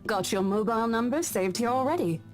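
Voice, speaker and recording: A woman's voice: on the high side, lively intonation, fairly quick, faint music behind, lightly acted. A woman playing a friendly, dutiful young employee in a visual novel game, casual and helpful. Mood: obliging.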